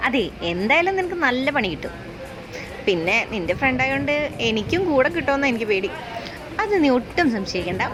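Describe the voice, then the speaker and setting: A woman voice acting a fan-dub story, talking in a playful, teasing way. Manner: playful, teasing, shifts to shrill panicked pleading. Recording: background music under the voices.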